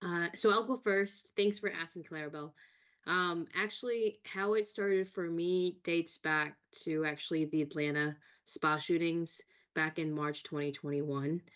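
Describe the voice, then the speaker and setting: A woman's voice: on the low side, fairly even intonation, measured pace, fairly bright tone, lo-fi sound. A woman from Brooklyn, New York, speaking as a panelist in a friendly way with frequent ums. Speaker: brand director, panelist. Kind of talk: panel discussion.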